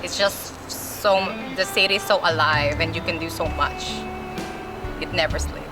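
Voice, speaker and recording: A woman's voice: low voice, steady tone, unhurried. A woman with a Filipino accent gives hesitant interview answers with many "um"s. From Philippines. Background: loud background music.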